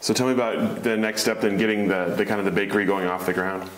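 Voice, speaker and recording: A man conducting an oral history interview, asking plain, steady questions. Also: on the low side, fast talker. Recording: echoey room with faint noise.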